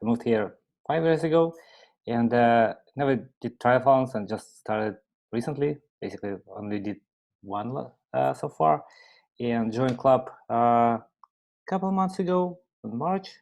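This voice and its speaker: A man from Russia introducing himself on a video call, halting and searching for words. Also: mid-range voice, creaky, a little mellow. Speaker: new club member. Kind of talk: casual self-introduction.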